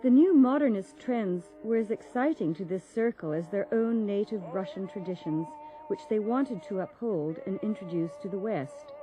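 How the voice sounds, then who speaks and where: A woman giving measured, formal scripted narration for a documentary. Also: mid-range voice, animated, dark tone. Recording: dull lo-fi sound with faint music.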